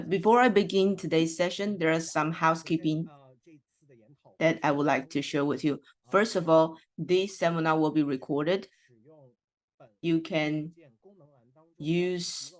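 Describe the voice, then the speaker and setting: Interpreter for a symposium opening; mid-pitched, hesitant, filler-heavy delivery.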